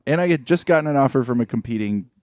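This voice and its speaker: A man's voice: medium pitch, lively intonation, racing, a little mellow, dry recording, studio quality. A man, middle-aged, chatting casually and telling anecdotes with a wry, mock-formal turn of phrase, as a podcast co-host.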